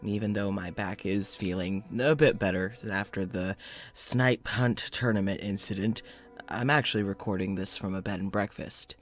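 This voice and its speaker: Man acting a tired, low-voiced doctor's audio diary. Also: steady tone, normal speed, faint music behind, close to an everyday voice. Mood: weary. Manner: conversational, understated, hesitant, dryly wry. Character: tired, awkward, gentle male doctor keeping audio diary. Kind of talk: audio drama monologue, confiding diary.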